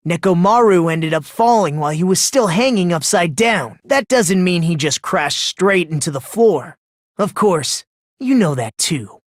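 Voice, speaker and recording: An actor playing an eerily polite, smug high school boy in an anime game dub, talking in a condescending, leisurely, theatrical way. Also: dry recording, studio quality, exaggerated voice. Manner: condescending, leisurely, theatrical, rhetorical tag questions. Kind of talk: anime video game dub acting, deductive monologue.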